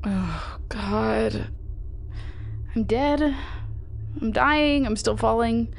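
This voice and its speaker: A woman's voice: on the high side, very slow, gravelly, a little hiss or hum, full character voice. A woman playing a defiant, sarcastic human abductee in an audio drama, blunt and confused. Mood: dazed, sardonic. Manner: sardonic, blunt.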